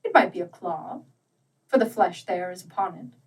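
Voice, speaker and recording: A woman's voice: fairly low pitch, moderate pace, distant mic, close to an everyday voice. A woman voicing a solemn, aging male Christmas ghost in a storybook reading, with grave and dramatic warnings. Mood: grave, sorrowful.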